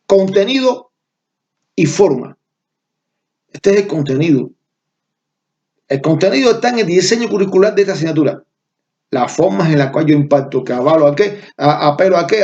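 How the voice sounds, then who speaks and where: A man's voice: a little high, darkish tone, highs cut off. A middle-aged man from Cuba with a Cuban Spanish accent, giving an online university lecture, stressing key terms and checking in with students.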